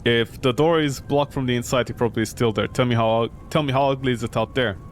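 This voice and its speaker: A man's voice: medium pitch, steady tone, quick pace, slight background noise, voice pushed down, lightly acted. A man voicing an anxious, stubborn old woman tenant in a visual novel, with stilted formal phrasing. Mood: worried, mournful.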